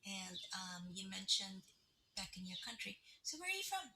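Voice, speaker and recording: A woman chatting casually on a video call in a dry, teasing, low-key way. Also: sing-song, normal speed, noisy background. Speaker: student club member.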